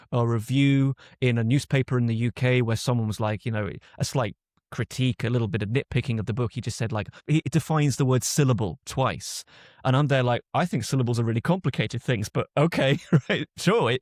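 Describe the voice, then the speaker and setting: British man, guest author, reflective and measured, mid-pitched, recorded dry and close. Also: a little mellow, no echo. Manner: thoughtful, reflective, often restarts sentences. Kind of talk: conversational interview answers.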